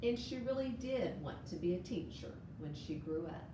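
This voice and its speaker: A woman reads a children's picture book aloud in an expressive storytelling manner. She is recorded in a heavily echoing room, some distance from the microphone, with clear noise in the background. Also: on the low side, normal speed.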